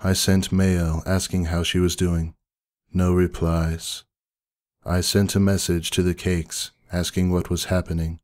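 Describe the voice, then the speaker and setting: A stoic older sister pony played by a man narrates a dramatic story in a deep, deadpan voice. The delivery is terse and unemotional, and the sound is close and dry. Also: a little slow, dry recording. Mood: stoic, somber. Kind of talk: dramatic first-person story reading.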